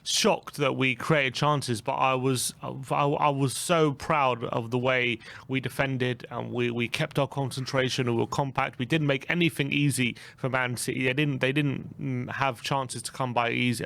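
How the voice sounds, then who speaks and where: Gravelly man, rambling. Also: mid-range voice, steady tone, moderate pace, few pauses, a bit bright, no room sound. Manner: rambling, many restarts and fillers. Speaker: co-host. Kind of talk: casual football chat.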